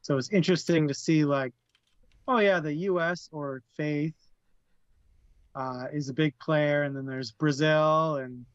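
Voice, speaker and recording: A man chats about a book, laughing easily and hesitating with fillers. He speaks slowly in a slightly higher voice. His mic sounds dull and lo-fi, with keyboard typing behind him. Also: steady tone, clean tone, dry recording. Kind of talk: casual book discussion.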